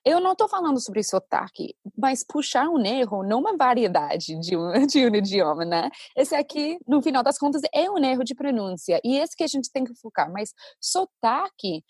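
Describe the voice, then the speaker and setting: An American woman who learned the language as an adult, speaking as a guest English teacher in an animated, chatty conversation. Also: high-pitched, moderate pace, hardly any pauses, slightly rough, no room sound, studio quality. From United States. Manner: animated, chatty, laughs often. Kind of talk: casual conversation.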